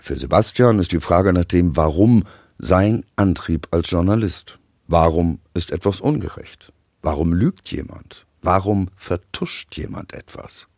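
A man's voice: deep voice, a little slow, a little mellow, no echo, studio quality. A man reading a scripted podcast promo as an announcer.

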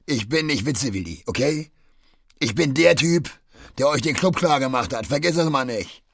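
A man's voice: medium pitch, lively intonation, normal speed, creaky, no room sound, studio quality. Older-ish pimp guy, slangy and bossy, chummy but threatening, radio play dialogue. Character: jovially menacing middle-aged red-light district pimp.

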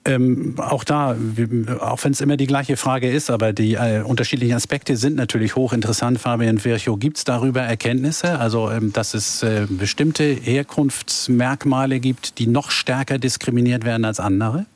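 A man hosting a radio call-in program, asking questions in a measured way with frequent fillers. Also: mid-range voice, fairly even intonation, no echo. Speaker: radio host.

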